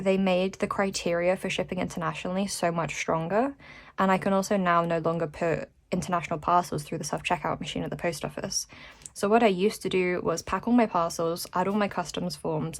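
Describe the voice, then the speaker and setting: A woman's voice: lowish voice, gravelly. A British woman chatting casually in a vlog update, rambling and self-deprecating in an informal way. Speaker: vlogger and small shop owner.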